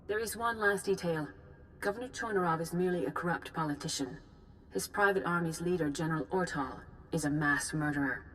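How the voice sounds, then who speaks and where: An actress playing a calm, grave senior Jedi leader giving formal, measured orders in a video game. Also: female voice, lowish voice, a little hiss or hum, close to an everyday voice, low-quality recording. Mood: grave, commanding. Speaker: commander giving a mission briefing. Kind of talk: video game cutscene acting.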